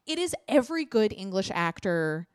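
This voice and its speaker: A woman's voice: medium pitch, sing-song, moderate pace, clean tone, no room sound. Chatty woman podcast host, dry close mic. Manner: chatty, profane, laughs at her own slips. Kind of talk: casual chat.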